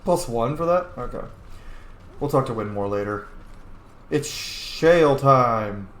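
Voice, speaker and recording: A man's voice: mid-range voice, lively intonation, slow pace, fairly bright tone. A man hosting a gaming let's play with casual commentary. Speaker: gaming host. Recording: roomy sound with faint noise.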